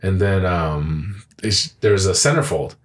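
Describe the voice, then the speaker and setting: A man's voice: deep voice, takes it slowly. A man hosting a podcast, joking loosely with his co-hosts and laughing often. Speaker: podcast host. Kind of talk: casual podcast banter.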